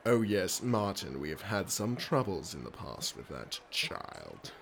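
Low, swooping creepy headmaster. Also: male voice, sing-song, measured pace, gravelly, a bit bright, a little hiss or hum, heavily acted. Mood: guarded, formal. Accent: Australian. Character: creepy middle-aged male school headmaster, smooth-talking, secretive. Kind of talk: radio drama acting.

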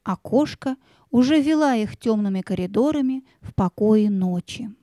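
A woman narrator tells a scripted fairy tale. Her voice is soft-toned and a little high, in a dry, close recording. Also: expressive, normal speed, dry recording.

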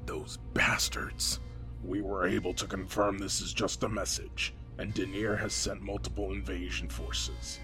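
A man's voice: sits low, flat delivery, measured pace. A man playing a stern general in a sci-fi audio drama, grave and authoritative as he gives orders. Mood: grave, commanding. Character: stern, commanding male general briefing troops. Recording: loud music underneath.

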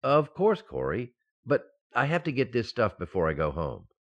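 An actor playing a naive, excitable expectant husband in an old-time radio sitcom, earnest and flustered. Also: male voice, mid-range voice, moderate pace, muffled recording. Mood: earnestly stubborn.

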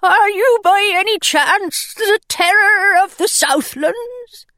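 A woman voicing a hapless male pirate with a thin, anxious voice, slow and apologetic. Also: extremely high pitch, pushed and bright, dry recording, studio quality, voice pushed up, full character voice.

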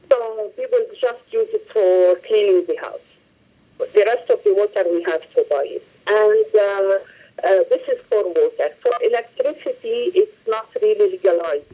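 A middle-aged woman from Lebanon, speaking English, gives a halting interview over the phone and often repeats words as she searches for phrasing. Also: medium pitch. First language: Arabic. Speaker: director of a humanitarian organization. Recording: muffled phone line.

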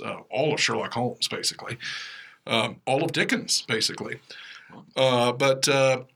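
An American man with a bright, forceful voice at an average pitch co-hosts a podcast. He explains things conversationally, drifting into wry asides. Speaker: podcast co-host and writer. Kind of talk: casual explanatory chat. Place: podcast studio.

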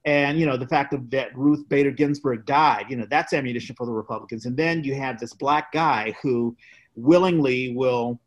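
A man with a medium-pitched voice speaks as a podcast co-host. He is opinionated and informal. His phrasing is halting, often circling back to repeat words before he finishes a thought. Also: lo-fi sound. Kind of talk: casual political discussion.

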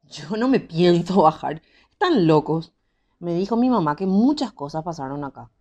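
A woman playing a nervous, superstitious young university student in an audio drama, with a Paraguayan accent and a fretful, expressive delivery. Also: on the low side, moderate pace. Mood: uneasy.

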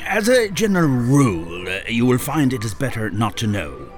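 Man acting a theatrical, smug Irish villain, swooping pitch. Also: big pitch swings, normal speed, lightly acted. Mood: smooth menace. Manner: theatrical, pompous, mock-genteel. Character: smug, menacing male kidnapper and handler of assassins.

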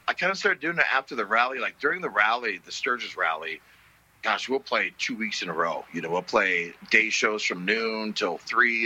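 A middle-aged man, a blues musician guest, talking in a relaxed, story-telling way full of fillers. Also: on the low side, slightly rough, rough recording. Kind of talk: casual interview chat.

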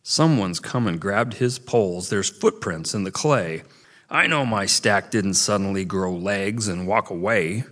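A man voicing a grumpy, lonely old male beaver who talks in a gruff, scolding way. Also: sits low, measured pace, fairly bright tone, in a large hall. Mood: angry.

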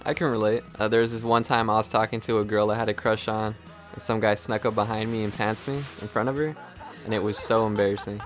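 A man's voice: lowish voice, level tone, fast talker, soft background music. A man co-hosting, delivering a scripted, lightly jokey intro. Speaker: co-host.

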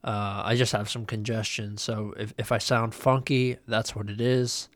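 A man's voice: on the low side, flat delivery, moderate pace, no room sound. A young man hosting a casual solo podcast, nasal and congested from a cold, rambling loosely with lots of ums and uhs. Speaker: podcast host.

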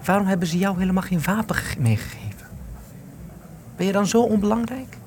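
A man's voice: sits high, expressive, fairly quick, a little mellow, a little hiss or hum. An actor playing a bitter, haunted, agitated man in wartime hiding, speaking intensely and accusingly in a Dutch radio play. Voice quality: creaky. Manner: intense, emphatic, declamatory.

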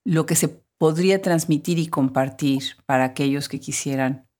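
A woman interviewer with a notably low voice, speaking in an engaged, conversational tone. She builds long, winding questions and responds warmly to her guest's points. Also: normal speed. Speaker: interviewer, host.

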